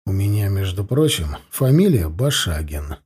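A man's voice: sits low, very animated, normal speed, a little mellow, breathy edge, pitched below the performer's own voice, full character voice. A man voicing a good-natured young watchman who talks in an easygoing, wry way, without malice.

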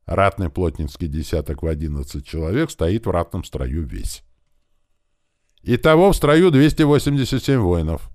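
A man's voice: sits low, sing-song, normal speed, a little mellow, dry recording, studio quality. A man voicing a military officer who reports formally in clipped phrases, in an audiobook story. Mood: formal, loud. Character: military deputy officer giving a crisp parade report.

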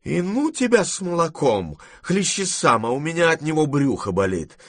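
An imperious old Russian grandmother from a classic storybook, acted by a male reader. The voice is pushed higher and louder, irritable and commanding. It breaks into impatient exclamations, recorded dry. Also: moderate pace, no room sound, lightly acted. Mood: irritated, shouting. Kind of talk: dramatized audiobook reading.

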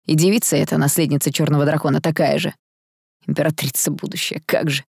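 A woman's voice: low voice, flat delivery, fast, creaky, breathy edge, no room sound, heavily acted. Casting: female narrator as pompous older man, gossipy and easily outraged, storybook delivery, dry close recording. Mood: gruff disdain. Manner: scornful, loud, self-important. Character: indignant older gentleman in a checked coat, gossipy, blustering.

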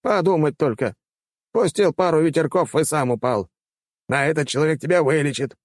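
Casting: male narrator as a pompous old academy rector, pitched high, indignant and exclamatory, wheedling like a salesman, fantasy audiobook. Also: normal speed, dry recording, clean studio sound, lightly acted. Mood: blustering, persuasive.

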